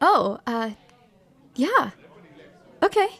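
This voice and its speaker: Woman acting a shy, higher-voiced young hospital volunteer. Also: very animated, very slow, vocal fry, full character voice. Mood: flustered, shy. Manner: hesitant, stammering, apologetic. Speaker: female lead and narrator of an audio drama. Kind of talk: audio drama acting with reflective first-person narration.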